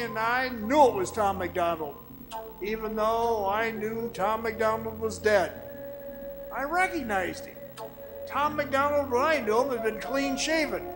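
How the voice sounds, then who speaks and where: A man playing a weary, awestruck man who recounts an eerie ordeal in a radio drama, dramatic, halting and haunted. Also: extremely high pitch, rough recording. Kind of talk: dramatic radio play narration. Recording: music behind the voice.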